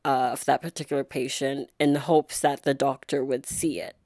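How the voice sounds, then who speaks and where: A woman being interviewed as a guest, a nurse, speaking in a soft-spoken, thoughtful way with frequent pauses and fillers. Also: extremely low pitch, fairly even intonation, no room sound, clean studio sound. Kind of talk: reflective interview conversation.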